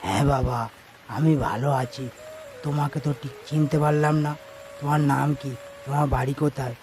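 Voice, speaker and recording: A man voicing a kindly older village tea seller in a cartoon, speaking in a gentle, hesitant way. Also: mid-range voice, steady tone, a little fast, a little hiss or hum, natural voice. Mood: puzzled. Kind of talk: cartoon story acting.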